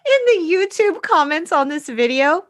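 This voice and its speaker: A woman co-hosting a podcast in casual comedic chat, with animated and wry banter. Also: sits high, normal speed. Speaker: podcast co-host.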